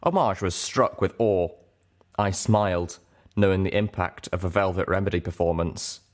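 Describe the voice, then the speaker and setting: A man's voice: low voice, normal speed. A man reading a first-person story aloud as an audiobook narrator, in scripted narration.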